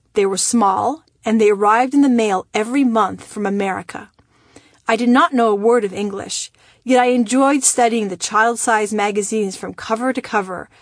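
A woman from Iran, a native Persian speaker, reading her own memoir aloud in a measured, wry storytelling manner. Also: a little high, slightly rough, no echo, clean studio sound. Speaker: memoir narrator.

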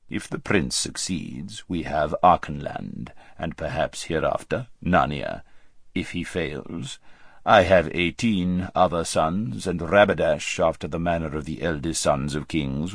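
A man's voice: rumbling low, vocal fry, no echo, studio quality, pitched below the performer's own voice, exaggerated voice. A man voicing an enormous, pompous tyrant king in an audiobook, cold and cunning, speaking in ornate, formal phrases. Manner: ornate, formal, unhurried speech. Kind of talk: audiobook character acting.

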